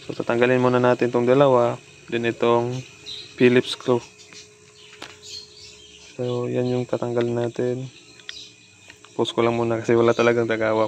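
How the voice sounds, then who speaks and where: A Filipino man hosts a casual, improvised how-to while he works. His delivery is very flat and even, with little melody. He speaks informally and instructively, over faint background noise with insect-like chirps. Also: mid-range voice. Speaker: repair tutorial host. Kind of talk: casual hands-on tutorial, improvised narration.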